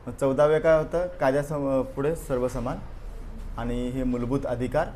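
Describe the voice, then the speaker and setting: A man's voice: mid-range voice, flat delivery, normal speed, a little mellow, slight background noise. A man teaching an exam review class, repeating answers and quizzing his students. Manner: drills answers through repetition and questions.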